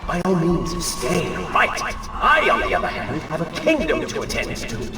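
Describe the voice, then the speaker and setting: Mocking dark spirit, arrogant wicked alter ego, high voice, hugely animated swoops, theatrical game villain. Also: male voice, moderate pace. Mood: gloating, taunting. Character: taunting, arrogant dark spirit, a prince's wicked other self. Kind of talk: dramatic video game acting. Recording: loud music under the voice.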